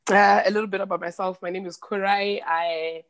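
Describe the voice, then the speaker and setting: A woman's voice: mid-range voice, steady tone, brisk. A woman in her late thirties who grew up in Zimbabwe, a podcast guest talking in a thoughtful, rambling way with many restarts. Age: 30s. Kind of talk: casual interview chat.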